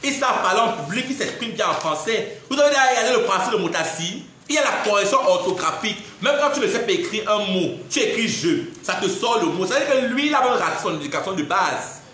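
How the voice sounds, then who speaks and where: A young man with a Cameroonian French accent rants to his viewers, indignant and mocking, laughing between jabs. His voice sits high and sounds bright and sharp. The sound bounces around a very echoey room. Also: expressive, fast talker, few pauses. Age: 20s. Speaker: social media personality. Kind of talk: ranting live video monologue.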